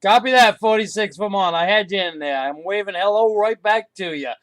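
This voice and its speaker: A man's voice: sits high, a little fast, a bit bright. A man working as a CB radio operator, calling out contacts in a friendly way, repeating call signs and signing off.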